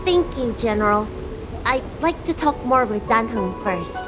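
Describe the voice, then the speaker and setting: A woman's voice: on the high side, lively intonation, measured pace. A woman voicing a young girl dragon healer in a game, spirited but burdened. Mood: wistful, earnest. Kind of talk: video game voice acting. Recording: loud music behind.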